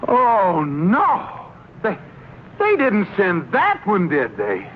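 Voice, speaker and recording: An actor playing an anxious, henpecked American husband in an old radio sitcom, stammering and exasperated. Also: male voice, high-pitched, huge pitch swings, very slow, heavily acted. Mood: flustered, pleading. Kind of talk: 1940s-50s radio comedy acting. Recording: dull, noisy old radio broadcast recording.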